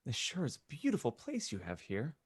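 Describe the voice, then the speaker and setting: A man's voice: mid-range voice, huge pitch swings, brisk, slightly rough, no echo, studio quality, exaggerated voice. A man playing an eager, polite little boy. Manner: wide-eyed, enthusiastic. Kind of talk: comedic fan fiction reading.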